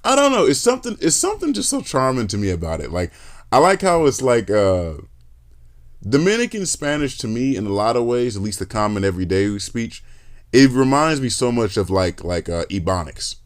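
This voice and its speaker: A man's voice: mid-range voice, fairly quick. A man hosting a podcast, chatting casually with lots of fillers and restarts. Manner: animated, many fillers and restarts. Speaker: podcast host. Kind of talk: casual interview chat.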